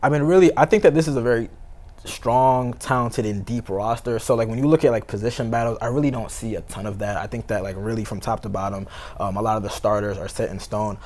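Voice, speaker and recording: An American man talks sports at a very quick clip in a fairly low voice. He sounds like a reporter chatting casually as a guest, leaning on conversational fillers as he builds each point. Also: darkish tone. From Baltimore, United States. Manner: conversational, heavy use of "you know" and "like".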